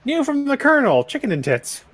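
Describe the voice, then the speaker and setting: A man's voice: very high-pitched, huge pitch swings, a little fast, fairly bright tone. A man co-commentating on a reading in a casual, joking chat style. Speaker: co-commentator on a fan fiction reading.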